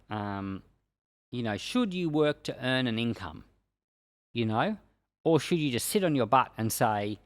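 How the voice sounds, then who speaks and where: A man's voice: medium pitch, no echo. A man speaking as a pastor, reading and explaining scripture in a plain-spoken, explanatory way. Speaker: pastor and Bible podcast host. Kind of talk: scripture reading followed by informal devotional commentary.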